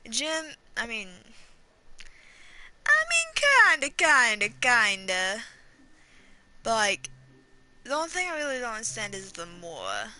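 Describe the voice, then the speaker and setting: Very bright, high-voiced woman gamer. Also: wildly swooping pitch, measured pace, pauses often, a little hiss or hum. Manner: rambling, reacts aloud, repeats herself. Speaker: gaming streamer. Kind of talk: casual playthrough commentary. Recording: game music faintly behind.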